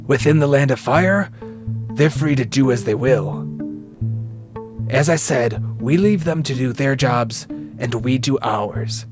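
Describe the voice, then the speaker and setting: A man's voice: mid-range voice, slow, music in the background, close to an everyday voice. A man playing a cautious, level-headed ninja commander in a fan audio drama, reasoning things out as he explains. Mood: matter-of-fact.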